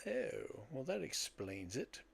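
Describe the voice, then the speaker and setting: A man's voice: medium pitch, huge pitch swings, takes it slowly, gravelly, breathy edge, no room sound. An older man co-hosting a podcast, talking casually with friends about old films. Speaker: podcast co-host.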